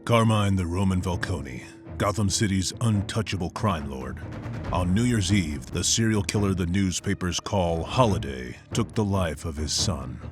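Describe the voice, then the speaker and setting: A man's voice: sits low, animated, normal speed. An actor playing a brooding masked vigilante who narrates his thoughts. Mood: grim. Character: brooding masked male vigilante, grim and determined. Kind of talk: inner-monologue narration. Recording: loud music score behind.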